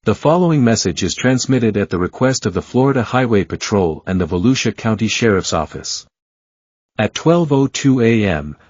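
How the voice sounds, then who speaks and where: A man reading a scripted emergency alert bulletin in a formal, even, measured way. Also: on the low side, no room sound. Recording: dull lo-fi dry broadcast audio.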